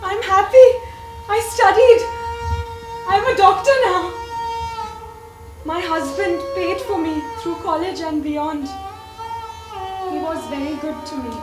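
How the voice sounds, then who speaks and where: A wistful woman, now a doctor and a mother, her voice high and unhurried, as an actress plays her. It is caught from a distance on a roomy theatre stage, with music underneath. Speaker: stage actor. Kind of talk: live stage acting.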